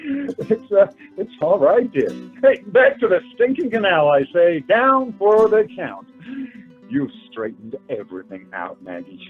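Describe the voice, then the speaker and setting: A man acting a flustered, forgiving young suitor in a radio play, halting and gentle. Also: high-pitched, sing-song, breathy edge, exaggerated voice. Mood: relieved, fond. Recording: tinny narrow-band audio, faint music.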